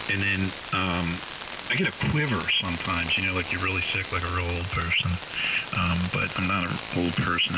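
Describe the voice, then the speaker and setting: A man calling in on a ham radio chat, rambling casually with many ums and uhs. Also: low-pitched. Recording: distant, tinny radio signal with hiss.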